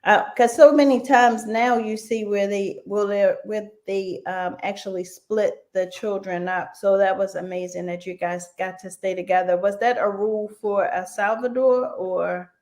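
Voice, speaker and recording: A woman's voice: medium pitch, fairly even intonation, normal speed, hardly any pauses, darkish tone. A woman hosting a conversational radio interview show.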